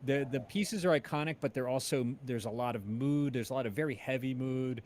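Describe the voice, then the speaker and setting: A man's voice: mid-range voice, a little fast, a little mellow. Enthusiastic man hosting, noisy background. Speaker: podcast host and interviewer. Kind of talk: casual interview chat. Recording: clearly noisy ambient background.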